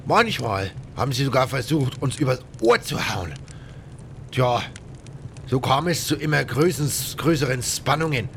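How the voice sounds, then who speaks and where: A man voicing a rough, chatty pirate in a game audio drama, rambling in coarse colloquial speech with grudges. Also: lively intonation, normal speed, fairly bright tone. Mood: gruff, aggrieved. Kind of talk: acted game dialogue, colloquial storytelling. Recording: faint rumbling background noise.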